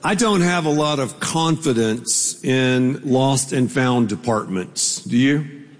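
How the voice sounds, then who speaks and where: A man's voice: medium pitch, lively intonation, slow, soft background music. A man preaching a sermon as pastor, telling a story in a conversational, playful way with asides to the congregation. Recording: long reverb of a large hall.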